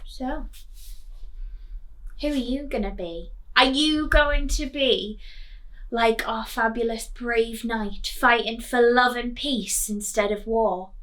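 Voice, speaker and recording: A woman teacher reading a children's storybook aloud in an expressive, sing-song way, putting coaxing questions to young listeners. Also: on the high side, takes it slowly, low-quality recording.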